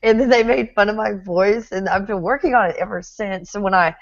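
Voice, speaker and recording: A woman's voice: mid-range voice, brisk, creaky, no echo, lo-fi sound. A middle-aged woman from Texas with a Texan accent, hosting a casual interview, chatty and often laughing. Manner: chatty, laughs often, reads viewer comments aloud. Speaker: host.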